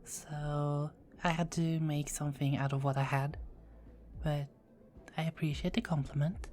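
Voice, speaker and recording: A woman playing a low, whispering teasing vampire. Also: level tone, a little slow. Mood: friendly. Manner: soft-spoken, often whispering, playful teasing. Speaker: performer of own script. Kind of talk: ASMR roleplay.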